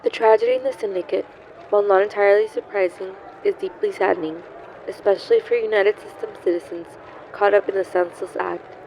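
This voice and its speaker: An actress playing a cool, stern government spokesperson delivering a formal, measured press statement in an audio drama. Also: female voice, mid-range voice, steady tone, darkish tone, slight background noise, lightly acted, low-quality recording. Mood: cool, firm.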